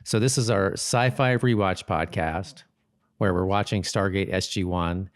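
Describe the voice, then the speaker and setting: A man's voice: low voice, a little slow, no echo, clean studio sound. A man co-hosting a podcast in casual conversation, rambling easily and correcting himself as he goes. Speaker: podcast host. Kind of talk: casual rewatch podcast chat.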